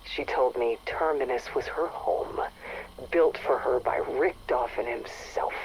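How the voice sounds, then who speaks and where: An actress playing an exasperated, sarcastic station chief griping into an audio log. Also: female voice, very deep voice, unhurried, a little mellow. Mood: wary, irritated. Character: exasperated, sardonic female CIA station chief dictating a log. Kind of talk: acted audio log monologue. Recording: faintly noisy radio-like audio log.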